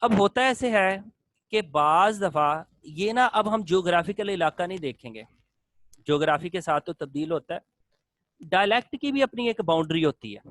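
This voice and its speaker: A male lecturer teaches in a steady classroom manner, building points through repeated, list-like phrases and switching to English terms. His voice sits a little high for a man, and the recording is dry and close. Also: normal speed, dry recording.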